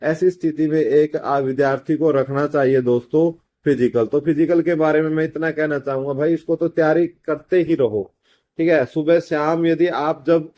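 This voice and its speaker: A man's voice: on the high side, steady tone, moderate pace, dark tone. A man giving an informal exam-update explainer as a coaching teacher, repeating himself and addressing students as friends. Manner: repetitive, addresses listeners as friends, often checks with "okay".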